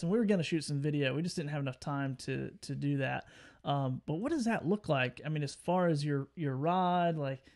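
A man's voice: fairly high pitch, darkish tone. A young adult man co-hosting a podcast, asking laid-back, rambling questions over a video call. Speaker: co-host. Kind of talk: casual podcast chat.

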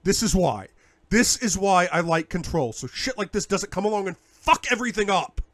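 A man voicing a furious, controlling young man who snarls and shouts with heavy swearing in an audiobook. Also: sits low, steady tone, measured pace, no room sound, pitched below the performer's own voice.

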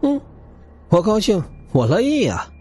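A man voicing a cocky, lively young man. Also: high voice, unhurried, husky, faint music behind, voice pushed up, heavily acted. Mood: flippant. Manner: mocking, nonchalant. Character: cocky, smiling young man, casually menacing.

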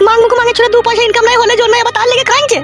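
A foul-mouthed, bossy cartoon mother from the village, scolding in coarse slang. Her artificially raised voice is extremely high and races along, with music clearly playing behind her. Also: little pitch movement, racing. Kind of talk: comic cartoon dialogue.

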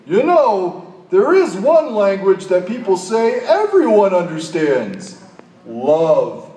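A man voicing an eager, boastful detective dog puppet in a children's show, with exaggerated, clearly enunciated delivery. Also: sits high, huge pitch swings, slow, warm tone, exaggerated voice. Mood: earnest. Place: large hall, phone recording from the audience. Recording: echoey hall, far from the mic.

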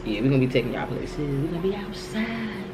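A young woman co-hosting a casual food review vlog, relaxed and agreeable, chiming in and talking over her partner at a table close to the camera indoors. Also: low voice, quick pace, over loud music. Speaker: vlog co-host. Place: small indoor room, seated at a table.

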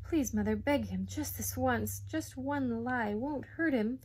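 A woman's voice: sits high, very animated, moderate pace, breathy edge, pitched above the performer's own voice, full character voice. A woman voicing an earnest working-class schoolboy in a story, urgently imploring. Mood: desperate pleading. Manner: urgent, imploring, words racing.

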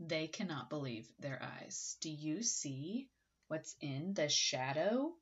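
Animated woman reading aloud to children. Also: low voice, slightly rough. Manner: expressive, dramatic pauses and emphasis, prompting. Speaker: teacher reading a picture book to children. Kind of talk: animated read-aloud with questions to young listeners. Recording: slightly dull webcam audio.

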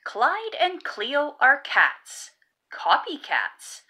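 A woman reads a storybook aloud for little children with hugely varied, swooping intonation. Her voice is bright and a bit high, and she enunciates each phrase deliberately. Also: huge pitch swings. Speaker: children's read-aloud host. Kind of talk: picture-book read-aloud for young children.

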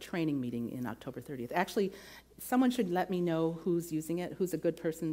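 A woman's voice: sits low, mellow. A middle-aged woman from the American Midwest speaking as a candidate at a campus forum in a lecture hall, earnest and detailed, on a lapel mic. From Midwestern United States. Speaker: provost candidate.

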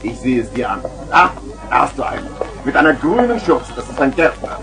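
A man's voice: medium pitch, big pitch swings, brisk, vocal fry, over music. A man playing a boisterous street hawker with theatrical, wheedling patter in a vintage children's radio play. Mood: coaxing. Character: boisterous male street hawker selling garden gnomes. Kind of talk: 1970s German children's radio play acting.

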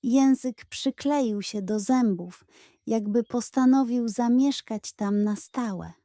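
A woman tells a children's bedtime story in a gentle, expressive voice. She speaks slowly, her tone is mellow and pitched a little high, and the recording is dry and close. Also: dry recording.

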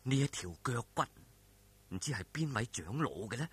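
Male reader as bold, caring young swordsman, Hong Kong Cantonese, earnest storybook hero with a husky edge. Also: medium pitch, very animated, normal speed, pitched below the performer's own voice. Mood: calm, relieved.

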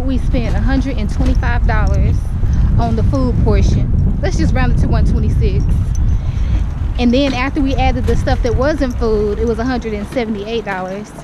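An American woman in her thirties chatting casually for a shopping vlog on a handheld camera. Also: a little high. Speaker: vlogger. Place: large warehouse store and open parking lot. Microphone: handheld action camera at arm's length. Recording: muffled action camera audio, heavy ambient noise.

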